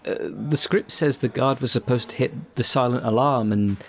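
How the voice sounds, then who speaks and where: A man's voice: mid-range voice, moderate pace, natural voice. A man playing an earnest, disgruntled actor who is fussy about his craft, in a conversational audio drama. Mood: hesitant, nitpicking. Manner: hesitant politeness turning to exasperated complaint.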